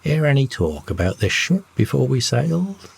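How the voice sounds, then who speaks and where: A man voices a protective, irritable sea captain from an old sailing yarn. He is brusque and plain-spoken, with very varied pitch and faint noise behind him. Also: big pitch swings, normal speed, gravelly. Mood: probing.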